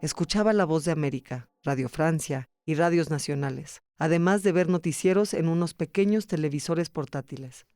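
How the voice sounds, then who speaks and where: A man's voice: fairly high pitch, moderate pace, few pauses, darkish tone, no echo, clean studio sound. A man narrating a scripted documentary podcast as its storyteller.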